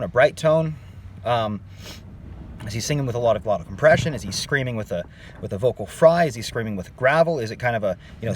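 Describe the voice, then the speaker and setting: A man explaining singing technique in a relaxed, conversational way, often turning to the viewer with "okay?" His voice sits at an ordinary middle pitch. A faint noise stays under the recording. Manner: conversational, addresses viewers as "guys", frequent "okay?" check-ins. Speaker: voice teacher. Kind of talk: casual online singing lesson.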